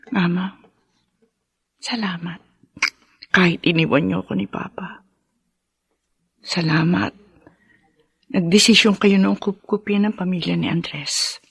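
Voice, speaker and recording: A woman's voice: lowish voice, fairly even intonation, slow pace, gravelly, long pauses, breathy edge, exaggerated voice. An actress playing a lonely, earnest middle-aged woman in a Filipino radio drama, speaking with a heartfelt, sighing manner. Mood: wistful.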